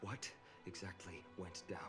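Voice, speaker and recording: A man voicing a strained young warrior lord in a video game, subdued, halting and intense. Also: low voice, fairly even intonation. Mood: tense, restrained. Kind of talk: dramatic video game acting. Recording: loud game music underneath.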